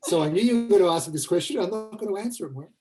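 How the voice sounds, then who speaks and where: A man's voice: high-pitched, very fast, music in the background. A man speaking as a playwright guest in a casual panel discussion, reflective and emphatic. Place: indoors, online video call.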